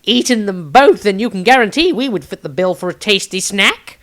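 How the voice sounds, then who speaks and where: A man's voice: sits high, lively intonation, normal speed, fairly bright tone, voice pushed up. A man voicing a small, food-loving halfling in a fantasy storybook, cheeky and easily flustered, with a jokey, spluttering delivery. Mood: joking.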